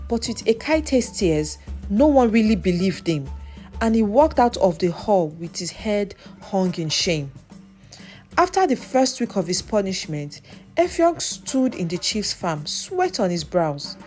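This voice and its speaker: A woman storyteller reads a scripted folktale. Her intonation is highly animated, and music plays softly behind her.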